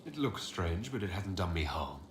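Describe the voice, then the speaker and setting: A man's voice: deep voice, big pitch swings, moderate pace, echoey room, background noise. An actor playing a resolute, courteous male leader in a video game, speaking in a composed and formal way. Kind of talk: scripted video game dialogue.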